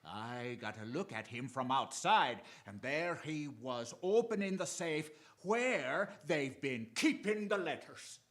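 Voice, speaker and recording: A man plays a deferential undercover police inspector in a radio drama. His voice sits a little high and moves about animatedly, with hesitant, respectful phrasing. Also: lively intonation, slow, hardly any pauses, fairly bright tone. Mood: eager reporting. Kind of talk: theatrical radio drama acting.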